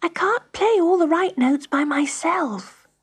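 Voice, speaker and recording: A woman's voice: extremely high pitch, normal speed, pitched above the performer's own voice. A woman voicing an earnest, nervous little girl pupil, timid and pleading, in a children's story.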